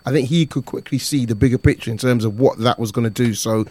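A man's voice: mid-range voice, quick pace, mellow, dry recording. A man hosting a casual boxing call-in show, chatty and easygoing, greeting callers. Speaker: host. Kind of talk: casual radio-style chat with callers.

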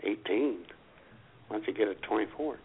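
A man's voice: lowish voice, lively intonation, moderate pace, slight background noise. A man co-hosting a talk show, telling casual comic stories and acting out exchanges with customers. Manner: acts out customer exchanges as he talks. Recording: thin phone-like lo-fi sound.

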